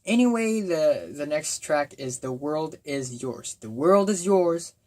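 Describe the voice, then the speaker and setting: A young man with a voice gone raspy from talking, giving a casual, excitable album review full of fillers and bursts of enthusiasm. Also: fairly high pitch, takes it slowly, slight background noise. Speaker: music reviewer.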